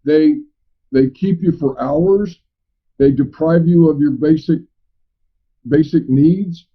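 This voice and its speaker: Male, fairly high voice, emphatic and repetitive phone talk, halting delivery, muffled recorded line. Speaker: private investigator. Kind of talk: phone conversation. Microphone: telephone. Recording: dull recorded phone call.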